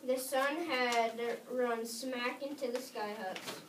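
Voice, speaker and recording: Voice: mid-range voice, steady tone, slow. A female reader narrating a scripted story aloud in a readers theater. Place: echoey room. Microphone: distant microphone. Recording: roomy, distant microphone, background noise.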